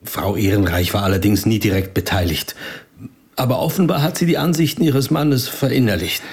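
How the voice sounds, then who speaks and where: A guarded rabbi, slightly husky. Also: male voice, on the low side, a little fast, darkish tone. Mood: aggrieved. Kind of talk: German audio drama dialogue.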